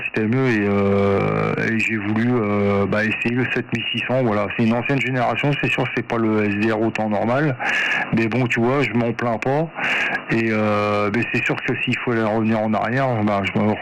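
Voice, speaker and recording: A man's voice: on the low side, gravelly. A man in a casual, rambling, colloquial chat as a radio operator on a two-way radio, often correcting himself. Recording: noisy two-way radio audio, static.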